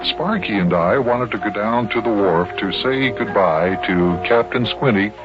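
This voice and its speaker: A man's voice: fairly low pitch, lively intonation, unhurried. A man with an American accent playing a patient, fatherly storyteller in a 1950s children's radio show. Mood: storytelling. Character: Big Jon, patient fatherly grown-up storyteller and companion. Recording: muffled old radio recording, music behind.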